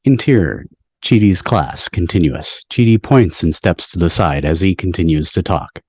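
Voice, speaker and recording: Casting: male stage-direction reader for a script table read, low voice, dry sound. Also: expressive, moderate pace, darkish tone, husky, no echo. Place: home, on a video call.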